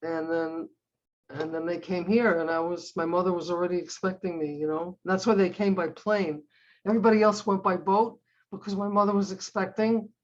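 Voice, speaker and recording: A woman's voice: lowish voice, fairly even intonation, moderate pace, highs cut off. An older woman from Cleveland, Ohio, whose first language is Hungarian, chatting casually and telling rambling family stories with many restarts. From United States (Cleveland, Ohio). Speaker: drawing class participant. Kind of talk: casual chat.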